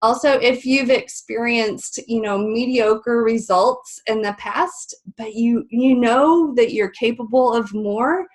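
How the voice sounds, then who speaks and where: A woman's voice: fairly high pitch, slow pace, hardly any pauses. A woman hosting a webinar, a little stuffy and nasal, talking in a friendly, chatty way with many ums. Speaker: webinar host. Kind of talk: live webinar presentation. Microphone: video call.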